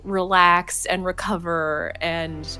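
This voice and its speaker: A woman's voice: lowish voice, steady tone, takes it slowly, pushed and bright, soft background music. A young woman co-hosting a game stream, chatty and upbeat with a slight cold, laughing and saying "like" a lot. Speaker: streamer, co-host. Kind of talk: casual chat while gaming.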